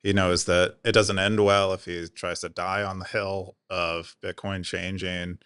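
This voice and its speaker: A man's voice: deep voice, little pitch movement, a little slow, fairly bright tone, clear, resonant tone, no room sound, clean studio sound. A man co-hosting a casual podcast, explaining technical ideas plainly in a loose, chatty way with lots of fillers. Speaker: podcast co-host. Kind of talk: casual chat.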